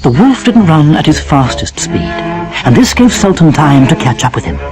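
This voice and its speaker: A man narrating a scripted children's fairy tale as an expressive storyteller. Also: a little high, normal speed, music in the background.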